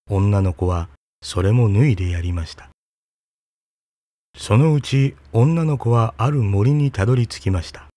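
A man reading a fairy tale aloud in a calm, even storytelling voice. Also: medium pitch, no room sound.

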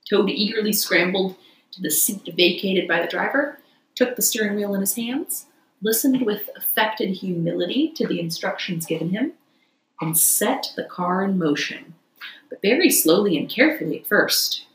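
A woman's voice: medium pitch, animated, normal speed, slight background noise. Woman reading a storybook, roomy echo. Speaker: audiobook reader. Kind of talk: expressive storybook narration.

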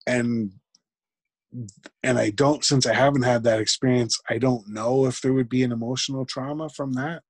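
A man, a guest in an interview, talking reflectively and haltingly, with frequent restarts. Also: mid-range voice, fairly even intonation, no echo. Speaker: podcast guest. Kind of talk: conversational interview.

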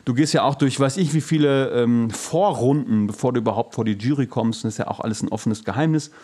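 A man's voice: medium pitch, fast talker, dark tone. Blunt man telling casual stories. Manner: blunt, sweary, colloquial. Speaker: podcast co-host; musical singer and actor. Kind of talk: casual storytelling chat.

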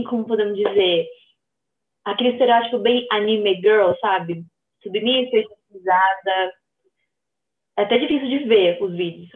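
A woman with a Brazilian accent speaking as a podcast guest in informal, conversational talk full of slang and tag questions, over a thin call-quality line. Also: medium pitch. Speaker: podcast guest, social media creator. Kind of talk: casual conversational interview. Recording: thin phone-like remote line.

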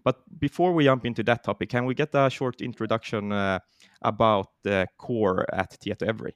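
A man hosting an interview podcast, pausing often on filler words. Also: on the low side, steady tone, no echo, studio quality. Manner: hesitant, many filler words. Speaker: podcast host.

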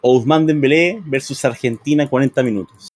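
A man's voice: medium pitch, moderate pace, a little mellow, slightly rough, no room sound. A man with a Chilean accent chatting casually as a football commentator, teasing and jokingly exaggerating. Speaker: football commentator on a group broadcast. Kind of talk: casual football banter during a live match.

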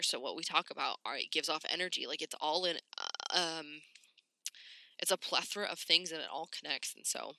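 Bright, slightly raspy woman podcaster. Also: low voice, no room sound. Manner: candid, informal, sweary, slangy. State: congested, getting over a cold. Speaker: podcast host. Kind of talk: casual solo podcast talk.

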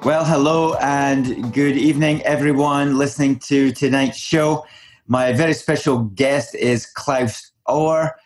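A man's voice: mid-range voice, steady tone, slow pace, faint music behind. A man hosting a podcast interview, a physician who introduces his guest in an upbeat, chatty, enthusiastic way.

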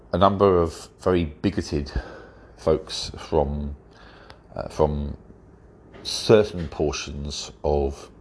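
Male podcast host, rumbling low and a little rough, blocked nose, unhurried and wry. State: congested, stuffy-nosed from hay fever. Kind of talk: solo podcast commentary.

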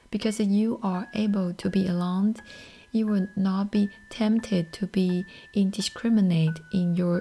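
A woman from Taiwan whose first language is Mandarin, speaking English with a Taiwanese accent as a podcast host, in a soft, airy, half-whispered scripted monologue. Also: mid-range voice, vocal fry.

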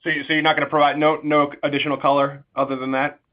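A man's voice: on the high side, level tone, fast talker. A man speaking as an analyst caller, putting spontaneous, pressing and repetitive questions on an earnings call. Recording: dull conference-call phone audio.

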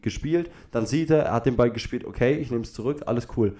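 A man's voice: medium pitch, brisk, dark tone. A man chatting casually and giving his opinions as a podcast host. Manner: colloquial, opinionated. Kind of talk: casual chat.

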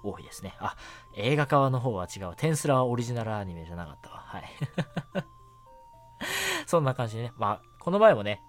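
A man's voice: medium pitch, wildly swooping pitch, moderate pace, pauses often. A man hosting a casual solo radio show, chatting politely with many small filler particles. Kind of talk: casual solo radio talk about anime. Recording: music bed under the voice. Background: background music.

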